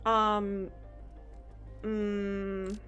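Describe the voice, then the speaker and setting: Slow-talking woman streamer, slightly sore throat. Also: mid-range voice, completely flat delivery, fairly bright tone. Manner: rambling, thinks aloud, chats with viewers. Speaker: game streamer. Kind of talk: casual live-stream chat while playing. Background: faint game music.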